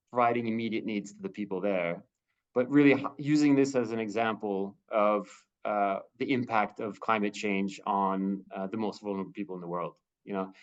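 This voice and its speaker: 低めの声でためらいがちに話す男性、こもった通話音声. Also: male voice, fairly low pitch, muffled recording. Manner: halting, many fillers and restarts. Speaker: humanitarian spokesperson. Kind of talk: video-call career talk answering questions.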